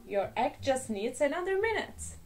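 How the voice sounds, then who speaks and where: A woman's voice: sits high, sing-song, normal speed, a little mellow. A woman voicing a patient but exasperated father in a children's storybook, explaining things with pleading emphasis. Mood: coaxing. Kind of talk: storybook character voice.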